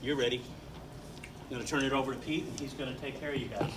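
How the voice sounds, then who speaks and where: A man giving welcoming remarks as a neighborhood association president, talking loudly and informally with many fillers, in a community meeting room. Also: mid-range voice, fairly even intonation, quick pace. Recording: room recording with clear background noise.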